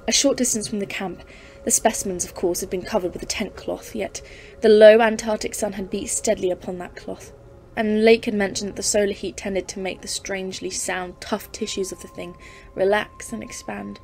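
A woman playing a grave, resolute professor in an audio drama, narrating in formal, measured, literary speech. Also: medium pitch, steady tone, vocal fry, a little mellow, slight background noise, close to an everyday voice. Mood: grave, haunted. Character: grave female professor leading an Antarctic expedition, haunted and resolute. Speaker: narrator and lead in an audio play. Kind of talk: dramatic scripted narration and dialogue.

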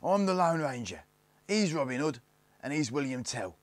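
A man voicing the bossy, scornful eldest boy of a gang in a storybook, with rough colloquial speech and a sneering, snapping manner. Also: mid-range voice, animated, moderate pace, no echo, voice pushed up, natural voice.

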